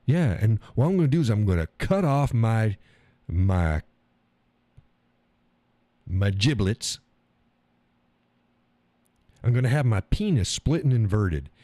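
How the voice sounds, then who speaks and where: A man's voice: on the low side, very animated, slow pace, pauses often, mellow, no room sound, exaggerated voice. A man putting on the voice of a made-up male friend confessing a wish to transition, in a mocking, hesitant drawl.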